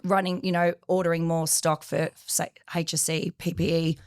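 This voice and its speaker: A woman's voice: fairly low pitch, level tone, normal speed, darkish tone, smooth, dry recording, studio quality. A young woman being interviewed as a guest, answering hesitantly with many fillers while losing her voice. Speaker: project coordinator guest.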